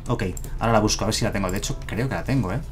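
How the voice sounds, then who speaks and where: Man, Madrid Spanish accent, fairly low voice, live card-game stream, headset mic, relaxed thinking-aloud chatter. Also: very fast. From Madrid, Spain. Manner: casual, thinking aloud, self-deprecating chuckles. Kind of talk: live gameplay commentary. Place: home streaming room, indoors.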